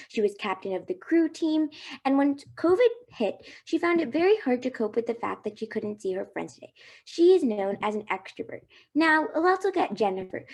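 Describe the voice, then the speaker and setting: A young woman from Delaware presenting a student research proposal, reading earnestly from a script with small slips. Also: on the high side.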